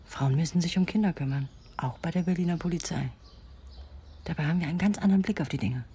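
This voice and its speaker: Frustrated young policewoman, ironic, rapid delivery, intimate radio drama, faint background noise. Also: sits low, warm tone. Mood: wry, frustrated. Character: wry young policewoman, frustrated, quick-witted. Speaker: actor.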